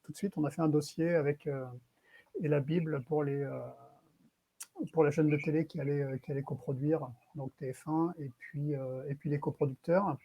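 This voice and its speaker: Husky, dark-voiced man, fairly high. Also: little pitch movement, moderate pace, highs cut off. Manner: hesitant, repeats words. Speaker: illustrator on a festival panel. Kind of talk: spontaneous panel talk.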